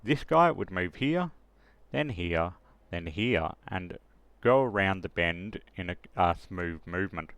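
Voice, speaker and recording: Low-voiced man, casual tutorial narration. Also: lively intonation, measured pace, fairly bright tone, no echo. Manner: hesitant, thinking aloud step by step. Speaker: tutorial presenter. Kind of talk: casual screen-recorded software tutorial. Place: close dry voice-over of a screen recording.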